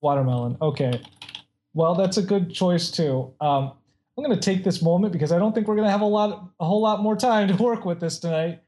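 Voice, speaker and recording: A man's voice: high voice, a little fast, warm tone. A man casually chatting and reading out odd facts, rambling with many restarts and frequent laughs.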